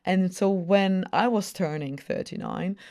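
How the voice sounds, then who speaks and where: A woman's voice: lowish voice, measured pace, a little mellow. A woman of forty-nine chatting casually as an interview guest, speaking with emphasis and repeating phrases to affirm her points. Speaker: podcast guest, personal brand strategist.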